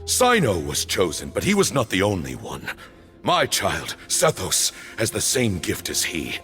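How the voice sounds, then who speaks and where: An actor playing a solemn male temple elder in a video game, speaking in grave, measured declamations. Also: low voice, wildly swooping pitch, a bit bright, soft background music, full character voice. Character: solemn male cult elder, proud and zealous. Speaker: temple leader.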